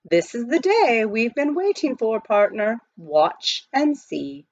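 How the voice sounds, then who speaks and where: A woman voicing a composed, courteous and quietly confident butler in a children's storybook, with formal, polite phrasing. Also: fairly high pitch, very animated, slow pace, dry recording, lo-fi sound, voice pushed up, full character voice. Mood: assured.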